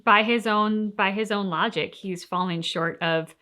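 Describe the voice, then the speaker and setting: A woman's voice: medium pitch, fairly even intonation, a little slow, sharp tone, clear, resonant tone. A woman co-hosting a game reaction show, talking casually and reflectively in an earnest, explanatory way.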